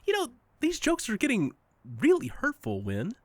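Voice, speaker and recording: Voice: mid-range voice, huge pitch swings, moderate pace, darkish tone, no room sound, clean studio sound. An actor playing an uncannily cheerful entity that poses as a best friend, overly friendly, coy and needling, in an audio drama. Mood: mock hurt.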